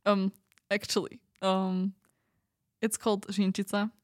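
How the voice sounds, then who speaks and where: A woman plays an earnest young trainee interviewed on a fiction podcast. Her voice is mid-pitched and slightly hesitant, recorded close and dry. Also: little pitch movement, a little slow, no echo, natural voice. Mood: corrective, earnest. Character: Eden, earnest young female medical trainee.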